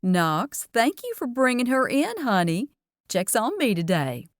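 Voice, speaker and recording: A woman's voice: on the high side, sing-song, a little slow, no echo, clean studio sound, voice pushed up. A woman doing a chatty diner lady. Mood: folksy. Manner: chatty, folksy, motherly. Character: warm-hearted Southern diner owner, older woman.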